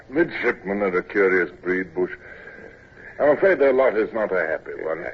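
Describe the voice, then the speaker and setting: A man's voice: on the low side, lively intonation, a little slow, slightly rough. An actor playing a naval captain who narrates his youth as a shy, stammering midshipman, in an old radio drama. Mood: reminiscing. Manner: stammering when acting young, wry when narrating. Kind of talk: 1940s radio acting. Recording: tinny old radio broadcast with hiss.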